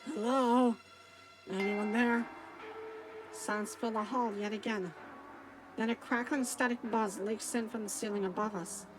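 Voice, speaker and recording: A woman's voice: medium pitch, fairly even intonation, normal speed, music in the background, poor audio. A woman streamer reading a visual novel aloud, playful and joking with chat, cursing at times. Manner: playful, swears, jokes with chat.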